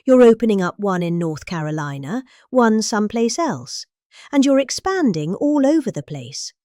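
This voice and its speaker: Middle-aged British female reader for a political speech, highly animated pitch, dry close sound. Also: normal speed, no echo. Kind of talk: dramatic reading of a political speech.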